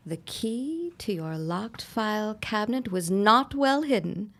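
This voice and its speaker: An actress playing a sardonic, suspicious accomplice in a period audio drama, speaking in a deliberate, theatrical manner. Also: female voice, medium pitch, expressive, fairly bright tone. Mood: sly, suspicious.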